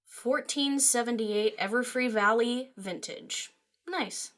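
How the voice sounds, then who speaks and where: A woman's voice: on the high side, takes it slowly, a bit bright. A woman voicing a brash, nonchalant young party-loving mare in a fan audio drama, with a flippant, teasing manner. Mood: impressed.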